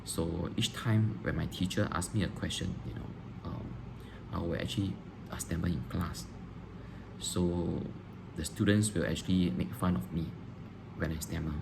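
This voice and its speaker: A man, a teacher answering interview questions on video, pausing often with ums and restarting phrases. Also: sits low, creaky. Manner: hesitant, frequent ums and restarts. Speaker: teacher applying for a job. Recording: noisy background hiss.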